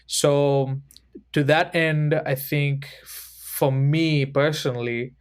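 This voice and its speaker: A man's voice: a little high, fairly even intonation, smooth. Thoughtful man in his thirties. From Sri Lanka. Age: 30s. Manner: measured and thoughtful, often slowing to choose words. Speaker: podcast guest. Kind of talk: reflective conversation.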